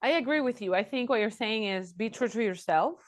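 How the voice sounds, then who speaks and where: A woman's voice: on the high side, animated, a little fast. A woman hosting a podcast interview, agreeing with her guest and summing up her points. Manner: affirming, sums up the guest's points. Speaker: podcast host. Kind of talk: conversational interview.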